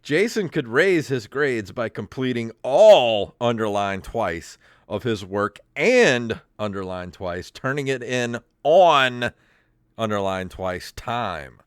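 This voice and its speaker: An American man in his fifties hosting a podcast in casual, joking chat, amused, self-deprecating and quick to laugh. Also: medium pitch, expressive, smooth, dry recording, studio quality. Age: 50s. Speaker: podcast host. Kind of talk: casual joking chat, reading aloud from old papers.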